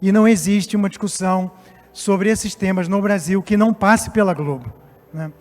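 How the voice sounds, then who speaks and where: High-voiced man in his fifties, from Portugal. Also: normal speed. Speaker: company executive, guest speaker. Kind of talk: semi-formal stage speech. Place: stage at a live event. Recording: echoing hall, music behind.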